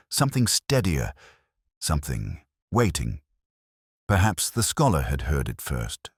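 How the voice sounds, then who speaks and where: A man playing a weary, contemplative police inspector who narrates his case in a solemn, measured, literary manner. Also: rumbling low, breathy edge, no echo, studio quality, exaggerated voice. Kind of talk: scripted first-person detective narration.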